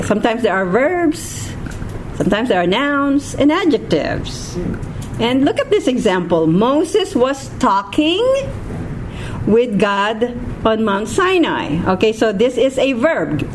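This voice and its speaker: A woman with a Filipino accent teaching a grammar class, patient and repeating key words, often checking in with "okay?". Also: on the high side. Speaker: Hebrew teacher. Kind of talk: classroom lecture. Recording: noisy room recording.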